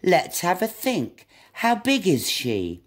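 A woman's voice: on the low side, wildly swooping pitch, slow pace, heavily acted. A woman reading a storybook aloud as a helpful, confident but muddled butterfly guide. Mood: soothing.

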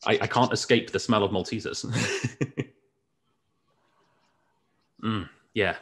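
A man's voice: on the low side, steady tone, slow pace, a bit bright. A man in his thirties hosting a casual whisky show, chatty and joking, speaking into a desk microphone at home. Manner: jokey, chatty, laughs easily. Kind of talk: casual interview chat. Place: indoors, home room with framed pictures. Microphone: studio microphone on desk.

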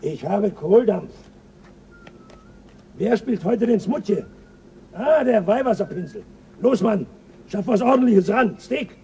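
A man's voice: high-pitched, animated, slow, pauses often, warm tone, a little hiss or hum, full character voice. An actor playing a crude, quarrelsome spaceship crewman who taunts the others in a German radio drama. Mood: surly. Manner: coarse, taunting, aggressive.

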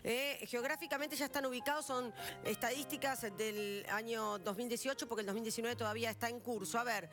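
Argentine lady news presenter, highish voice, studio, music behind her. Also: fairly even intonation, a little fast.

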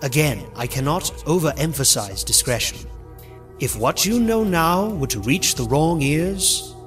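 A man's voice: fairly high pitch, animated, a little slow, soft background music. A man voicing a suave, secretive animal leader in a cartoon fandub, speaking formally and wordily with polite menace. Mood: guarded, courteous. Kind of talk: dramatic comic fandub dialogue.

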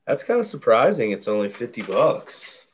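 A man with a deep, bassy voice calmly calls out each baseball card he opens at a table indoors, in a relaxed running commentary. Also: slight background noise. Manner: slow, laid-back play-by-play of each card. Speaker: card break host. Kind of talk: casual card-opening commentary. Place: indoors at a table covered in cards.

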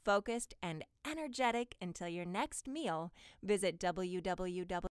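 A woman giving a polished, clearly enunciated scripted promotional read as a voice-over artist. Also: on the low side, unhurried, no room sound, studio quality. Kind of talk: scripted promotional voice-over for health and diet ads.

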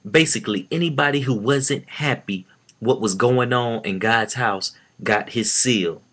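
A man's voice: medium pitch, a bit bright. A man in his thirties giving informal Bible teaching to his webcam at home, reading scripture aloud with rising force and repeating his phrases. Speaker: preacher. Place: small room at home, indoors. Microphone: laptop webcam.

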